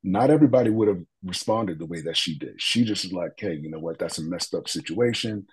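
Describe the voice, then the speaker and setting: Deep husky man telling a story. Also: expressive, fairly quick, low-quality recording. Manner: earnest, reflective, with fillers and restarts. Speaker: guest. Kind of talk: interview storytelling.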